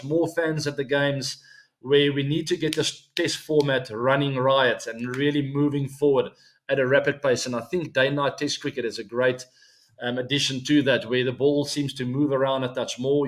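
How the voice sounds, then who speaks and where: A man in his forties with a South African accent hosts his own cricket show, his pitch sitting right in the middle range for a man. He talks in a loose, friendly way, drops in plenty of ums, and turns to his viewers with asides. Afrikaans is his first language. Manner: chatty, relaxed, many ums and asides to viewers. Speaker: show host. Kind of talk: solo weekly cricket show.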